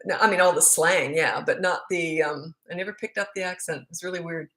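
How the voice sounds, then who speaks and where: A woman's voice: lowish voice, brisk, fairly bright tone. A middle-aged woman with a Canadian accent, a parenting coach answering viewer questions live in a frank, chatty, rambling way.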